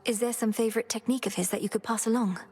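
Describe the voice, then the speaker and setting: A woman's voice: fairly high pitch, steady tone, rapid-fire, a little mellow, slight background noise, natural voice. A woman voicing an earnest young witch princess who speaks formally and politely to her elders.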